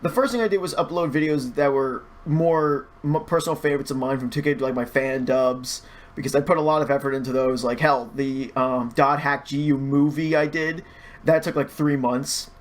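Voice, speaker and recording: A man's voice: medium pitch, steady tone, normal speed. A man chatting casually as a podcast guest, stammering a little and often restarting his phrases. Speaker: podcast guest, online video creator and fan dubber.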